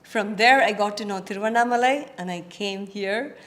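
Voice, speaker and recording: A woman's voice: mid-range voice, normal speed, fairly bright tone. Woman from chennai, mid voice, direct and emphatic. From Chennai, India. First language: Telugu. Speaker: retreat owner. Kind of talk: interview answers.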